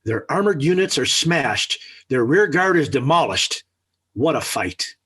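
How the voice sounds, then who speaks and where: A man's voice: fairly high pitch, big pitch swings, unhurried, fairly bright tone, breathy edge, full character voice. An actor playing a smug, scheming middle-aged American father in 1940s radio sitcom style, with pompous, emphatic speechifying. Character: smug scheming family father, blustery and theatrical. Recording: old 1940s radio recording.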